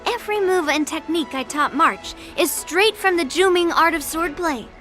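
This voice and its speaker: Cocky young swordmaster girl in an anime game, high bright voice, blunt n teasing. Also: a little slow, faint music behind. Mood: proud, frank. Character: brash, confident young female sword master, teasing. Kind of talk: anime-style game voice acting.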